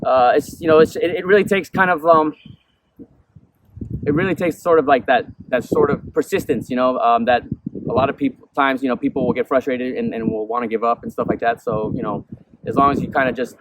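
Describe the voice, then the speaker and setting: A man's voice: medium pitch, fairly even intonation, a little fast. A young man born in Peru, a musician being interviewed, who rambles casually and fills his talk with "you know" and "um". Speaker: musician guest. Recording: noisy background.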